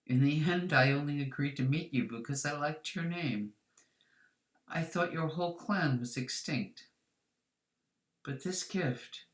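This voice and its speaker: A woman voicing an elderly, courteous male crime boss with formal, deliberate speech, in a story reading. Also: low voice, reverberant. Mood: grave, moved.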